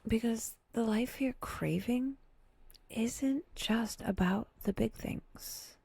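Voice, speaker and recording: A breathy woman speaks very slowly and gently, as a meditation guide would. Her voice is mellow and creaky at the edges, often sinking toward a whisper, with long pauses and a lightly wry touch. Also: a little high, no room sound. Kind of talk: soft, intimate guided meditation.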